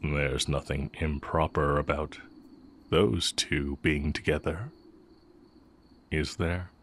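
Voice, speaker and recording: A man playing a tender, sly heretic mage and former teacher in a romantic audio roleplay, speaking in measured, formal phrases. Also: a bass voice, steady tone, fairly bright tone, a little hiss or hum, full character voice. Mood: tender, teasing. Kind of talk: romantic audio roleplay, intimate scripted acting.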